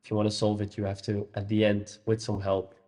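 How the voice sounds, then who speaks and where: Casting: Dutch-native male host, lowish voice, halting with lots of "uh" and restarts. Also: monotone, warm tone. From Netherlands. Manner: hesitant, many fillers and restarts. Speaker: hypnotherapist and podcast host. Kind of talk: casual interview chat.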